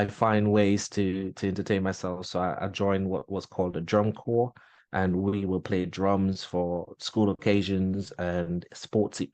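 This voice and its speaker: A man's voice: low-pitched, a little mellow, no echo. A man, a veteran guest in an interview, speaking candidly and reflectively with many fillers and restarts. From Caribbean, grew up in Grenada. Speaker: podcast guest, military veteran. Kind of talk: casual interview chat.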